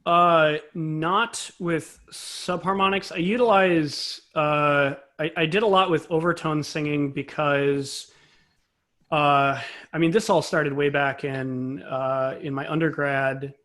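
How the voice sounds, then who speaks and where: A man's voice: fairly high pitch, fairly even intonation, slow pace, a bit bright. A man speaking as an interview guest, a composer and conductor explaining things conversationally in a thoughtful, self-correcting way.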